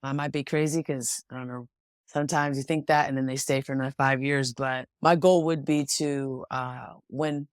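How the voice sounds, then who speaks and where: A woman's voice: a bass voice, fairly even intonation, moderate pace, no room sound, clean studio sound. A young American woman from Washington State, a pro boxer, talking reflectively as an interview guest with frequent restarts. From Washington State, USA. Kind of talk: casual interview conversation.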